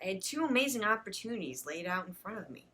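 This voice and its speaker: A woman's voice: mid-range voice, very animated, fast, a bit bright. A woman reading fan fiction aloud for a comedy show, mixing dramatic storytelling with casual asides.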